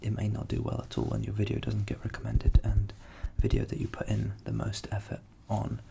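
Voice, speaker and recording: A young man who grew up in England with Ukrainian as his first language, whispering softly as an ASMR host answering viewer questions and thinking aloud. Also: lowish voice, completely flat delivery, a little fast, creaky, noisy background. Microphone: studio USB microphone.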